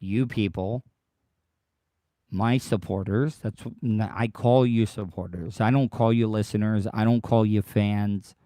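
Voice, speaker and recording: A man hosting his own podcast livestream, rambling and repeating himself as he talks straight to his listeners, his voice worn deeper than usual by tiredness. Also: fairly even intonation, unhurried, warm tone, no echo, clean studio sound. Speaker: podcast host. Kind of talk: casual solo livestream monologue.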